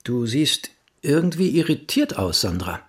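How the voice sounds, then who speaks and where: Man playing a husky, easygoing evasive writer. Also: mid-range voice, very animated, measured pace. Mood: casual, evasive. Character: easygoing, evasive male writer, live-in boyfriend. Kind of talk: naturalistic German audio drama acting.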